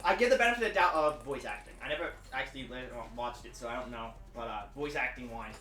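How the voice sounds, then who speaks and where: Woman, lowish voice, lively, fast, interrupts, swears, echoey, distant. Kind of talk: casual group chat about video games.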